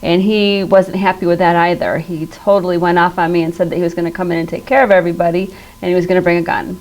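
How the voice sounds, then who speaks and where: A woman's voice: on the low side, flat delivery, fast talker, a little mellow, natural voice, low-quality recording. A woman playing an earnest, flustered medical office staffer in a training role-play, speaking politely with some hesitation. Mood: upset, explanatory.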